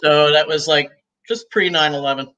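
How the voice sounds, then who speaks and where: A woman in her sixties, talking as a guest on a video call from home, chatty and easygoing and quick to laugh. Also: low voice, a bit bright, lo-fi sound. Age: 60s. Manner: talkative and easygoing, laughs often. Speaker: guest, cigar shop owner. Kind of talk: casual interview chat. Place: room at home.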